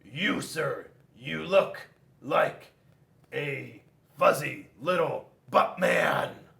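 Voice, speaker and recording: A bombastic storybook rock warrior, acted out by a man reading aloud, hungry for battle and full of boasts. The cries are slow and drawn out, with big swings in pitch, ringing in an echoey room. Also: very animated, gravelly, pushed and bright, exaggerated voice. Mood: booming, triumphant.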